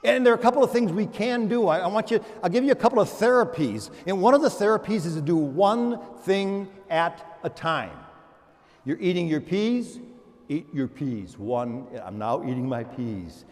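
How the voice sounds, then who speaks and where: A man giving a homily as a priest, animated, moving between loud exclamations and hushed murmurs, often repeating words and restarting in a conversational way. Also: sits high, normal speed. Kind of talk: sermon. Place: large church. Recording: long church reverb.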